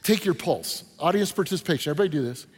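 A man's voice: a little high, animated, rapid-fire, slightly rough. A man preaching a conversational sermon as a pastor, with rhetorical questions, punchy short phrases and informal asides. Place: large indoor hall before an audience. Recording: reverberant hall with long echo.

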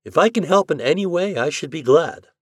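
Young male detective, voiced by a male narrator, shrewd but diffident, clipped audiobook dialogue, animated pitch, dry sound. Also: brisk, dry recording. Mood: polite, probing.

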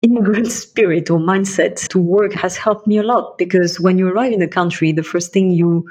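A middle-aged woman from Bulgaria, a guest in an interview, answering in a reflective, measured way and laying out her points one by one. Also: fairly low pitch, fairly even intonation, a little mellow, slightly rough. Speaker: guest, investment partner.

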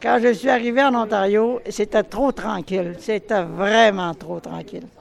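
A woman's voice: medium pitch, fairly even intonation, moderate pace, vocal fry. An older woman with a Québécois French accent speaking in a spirited, outspoken way.

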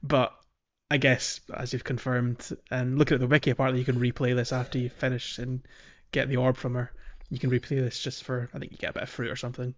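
A man's voice: mid-range voice, flat delivery, fast talker, hardly any pauses. A man co-hosting a podcast, explaining in an agreeable, casual conversational way. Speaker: podcast co-host. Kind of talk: casual chat, explaining a game. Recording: dull lo-fi voice track.

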